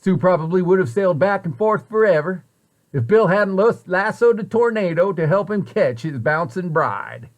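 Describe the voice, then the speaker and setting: A man reading a children's picture book aloud with expressive, deliberate storyteller phrasing. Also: high voice.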